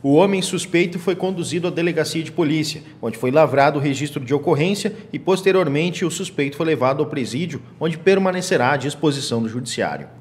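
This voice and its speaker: A young man anchors a small local TV news show. His voice sits a little high and his delivery is steady and formal, recorded on a lapel mic in a small studio. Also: slight background noise. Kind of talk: read local news bulletin.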